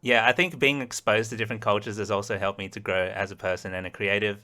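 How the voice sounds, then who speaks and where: A man with a light Australian accent and a bright, forceful voice, talking casually as a guest answers about his life. He is easygoing and laughs often. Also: lowish voice, level tone, quick pace. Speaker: host. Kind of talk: casual chat, being interviewed on a livestream.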